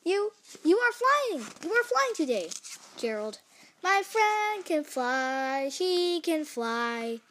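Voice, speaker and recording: Woman putting on an insistent, high-pitched storybook elephant. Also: sing-song, moderate pace, few pauses, a little hiss or hum. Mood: amazed. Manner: insistent, exclaiming. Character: doubting, emphatic male storybook elephant, anxious friend.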